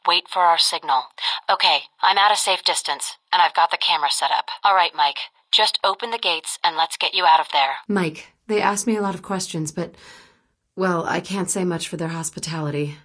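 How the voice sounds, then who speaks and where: A woman's voice: fairly low pitch, moderate pace, fairly bright tone, breathy edge, low-quality recording. An actress playing a cool, wry, self-possessed woman photojournalist and sniper in a video game. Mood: earnest, subdued. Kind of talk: video game voice acting, naturalistic dialogue.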